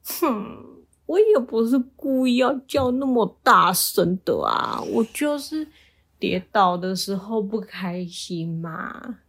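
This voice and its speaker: A woman's voice: medium pitch, expressive, slow, breathy edge, exaggerated voice. A woman voicing a grumpy, lonely tiger in a bedtime story, whining and exclaiming. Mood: indignant. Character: grumpy, easily angered but lonely tiger wanting friends.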